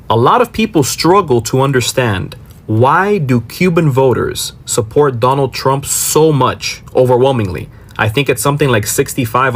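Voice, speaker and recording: A man's voice: mid-range voice, husky. An American man from Miami delivers explanatory commentary in a mid-range voice. He is emphatic, setting up rhetorical questions and then answering them in a direct-to-camera style. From Miami, Florida. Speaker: commentator on a social media reaction video.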